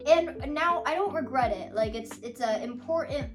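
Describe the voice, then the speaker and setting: A woman's voice: high-pitched, expressive, moderate pace. A young woman, a songwriter, chatting casually and rambling with lots of "like" and small laughs. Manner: rambling, full of "like" and little laughs. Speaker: songwriter answering fan questions. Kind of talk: casual chat. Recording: guitar music playing under the voice. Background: guitar music.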